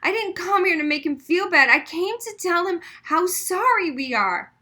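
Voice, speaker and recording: Woman playing an earnest, high-pitched schoolgirl. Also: expressive, normal speed, bright, forceful tone, heavily acted. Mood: hurt, defiant. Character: earnest, flustered schoolgirl, brainy and pleading.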